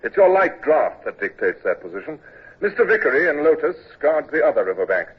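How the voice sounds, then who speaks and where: An actor playing a decisive naval commodore in an old radio drama, giving orders and narrating in a clipped, commanding way. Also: male voice, on the high side, very animated, husky. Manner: clipped and commanding, with halting restarts. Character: naval commodore, middle-aged man, dry and decisive. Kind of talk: 1950s radio drama acting. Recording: muffled old radio broadcast with hiss.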